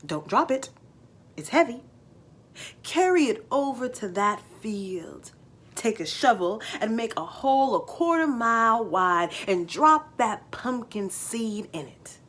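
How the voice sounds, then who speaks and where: A woman plays an old farmer father from a folk tale. He brags and soothes in a folksy rural dialect, taking his time, with big swoops in pitch and a bright, strong tone. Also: sing-song, takes it slowly, exaggerated voice. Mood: commanding.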